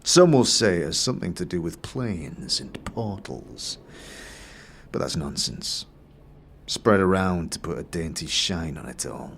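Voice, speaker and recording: A man voicing a disdainful, calculating moneylender in a fantasy game audio series, with a condescending, drawn-out and measured delivery. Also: deep voice, very animated, slight background noise, pitched below the performer's own voice, full character voice. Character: disdainful, calculating male moneylender, smug and snobbish.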